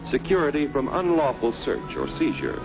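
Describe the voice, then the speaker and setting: An actor playing a stately, earnest American announcer, reading scripted narration in 1940s film style. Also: male voice, mid-range voice, huge pitch swings, normal speed. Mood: solemn, proud. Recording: muffled old film soundtrack with music.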